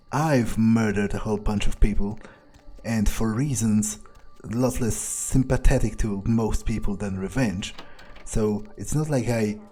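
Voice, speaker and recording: A man thinking aloud in character during a video game playthrough, halting and matter-of-fact, with casual swearing. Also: on the low side, mellow. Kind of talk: in-character musing aloud, thinking through events.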